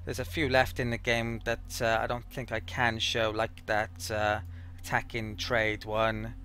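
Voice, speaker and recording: A man's voice: on the low side, monotone, measured pace, sharp tone. A man giving casual gameplay commentary as a let's play host, thinking aloud in a hesitant, rambling way.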